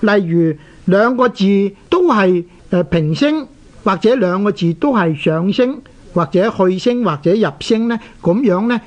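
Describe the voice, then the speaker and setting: A man with a Hong Kong Cantonese accent presenting a scholarly radio lesson, explaining in a deliberate, didactic way with drawn-out particles. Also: sits high, animated. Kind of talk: scholarly scripted lecture with recited verse. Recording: dull, lo-fi radio recording.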